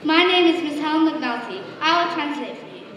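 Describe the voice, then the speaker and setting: A woman's voice: high voice, brisk, crowd noise, lightly acted. A woman in an amateur stage play acting a polite, formal translator sent by an agency. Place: large echoey indoor hall, far from the mic. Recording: distant, very echoey hall with audience noise.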